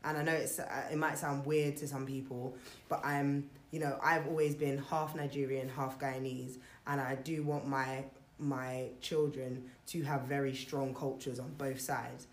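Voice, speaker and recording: A woman's voice: extremely low pitch, level tone, measured pace, few pauses. A young adult woman in a casual group chat, thinking aloud about family and heritage, with plenty of fillers and restarts. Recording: roomy echo.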